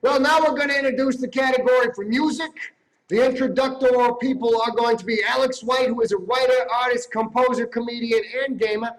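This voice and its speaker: Man putting on a very high-pitched comic host. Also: steady tone, brisk, poor audio. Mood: breezy announcing. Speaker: awards show co-host.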